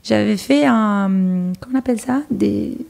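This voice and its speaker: Interview guest: Algerian-born woman, 30s, mid pitch, chatty and laughing, many restarts. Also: a little slow, creaky. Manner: lively, laughs often, many fillers and restarts. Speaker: guest, journalist and TV presenter. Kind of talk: casual interview talk.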